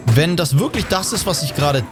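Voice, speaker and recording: A man's voice: fairly high pitch, lively intonation, a little fast. A man doing casual let's play commentary as a gaming streamer, slangy and mixing in English words. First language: German. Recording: game music clearly behind voice.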